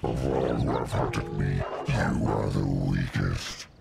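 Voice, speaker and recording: An actor playing a menacing, hungry hellhound beast in a video game, with a gravelly growl and a slow, taunting, deliberate delivery. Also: male voice, extremely low pitch, over loud music, heavily acted. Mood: smug, taunting. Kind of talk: video game character voice lines.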